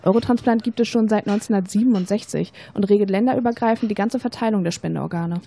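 A woman plays a young female student in an educational radio play. Her voice is mellow and mid-pitched. She explains patiently and persuasively, in measured, scripted sentences. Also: creaky. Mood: matter-of-fact, explanatory. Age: young adult.

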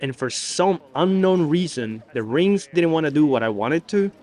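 A man's voice: a little high, lively intonation, normal speed, background noise. A young man reading a scripted, enthusiastic voice-over for a travel vlog. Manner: enthusiastic, explanatory. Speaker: travel vlogger narrating.

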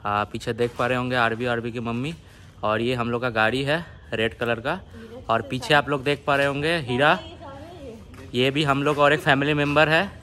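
A young man, narrating a family vlog in a relaxed, hesitant way to a phone held at arm's length. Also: medium pitch, normal speed. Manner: relaxed, with frequent hesitation sounds. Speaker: family vlogger. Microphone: phone selfie at arm's length.

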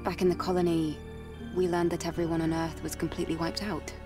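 A woman's voice: lowish voice, little pitch movement, fairly quick, close to an everyday voice. Woman acting as young female game soldier, serious, restrained, earnest, slightly distant, music behind. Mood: curious. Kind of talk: video game cutscene voice acting.

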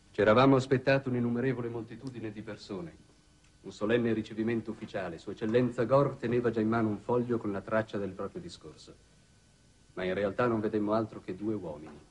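Grave young space envoy narrating, low husky voice, dramatic pauses, old 60s tv drama sound. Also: male voice, a little mellow. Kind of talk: 1960s Italian TV drama narration. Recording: old 1960s television soundtrack.

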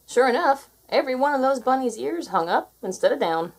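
A woman reading a children's story aloud in an expressive style. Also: high voice, fairly quick, breathy edge, rough recording. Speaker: storybook reader.

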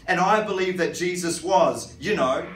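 A man in his forties preaching an animated sermon to a congregation in a church hall, speaking into a handheld mic. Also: sits high, normal speed. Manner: animated, gesturing, rhetorical questions. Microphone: handheld mic, filmed from the audience. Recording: roomy hall, distant phone, some noise.

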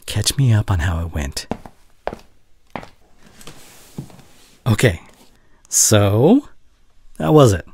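A gentle, earnest young boyfriend, acted by a man in an intimate audio roleplay. His low voice is breathy and tender, moving freely up and down. He speaks in halting phrases, close to the mic. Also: dry recording, exaggerated voice. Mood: warm, encouraging. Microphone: close studio condenser microphone.

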